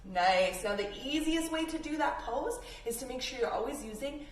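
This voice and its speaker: A woman's voice: sits high, expressive, moderate pace. A woman instructing young children in yoga in a playful, encouraging way. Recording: roomy, distant mic, faint noise.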